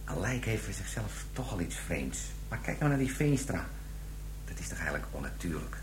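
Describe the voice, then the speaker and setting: Radio drama casting: squeamish police detective, lowish pitch, brooding and repelled, slightly noisy recording. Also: male voice, very animated, moderate pace. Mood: uneasy, disgusted. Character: squeamish policeman uneasy about autopsies. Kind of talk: 1990s Dutch radio drama acting.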